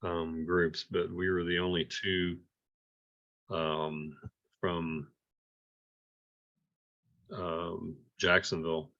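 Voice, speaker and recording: A man giving an informal report at an amateur radio club meeting, halting and hesitant, often repeating words, in dull, muffled audio. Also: sits low, level tone, sharp tone.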